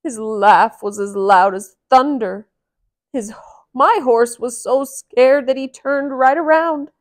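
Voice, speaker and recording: A woman's voice: high-pitched, slow pace, pitched above the performer's own voice. A woman voicing a frightened male wagon driver telling of danger in a children's storybook. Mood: alarmed.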